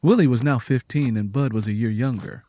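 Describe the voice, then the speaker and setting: A man's voice: mid-range voice, fairly quick, warm tone. A man reading scripted story narration in a solemn, dramatic manner.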